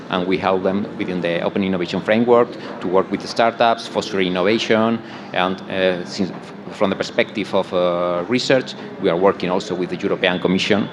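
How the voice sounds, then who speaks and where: A man from Spain, a research director, introducing his company on a conference panel in a halting way with repeated words. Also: deep voice, noisy background.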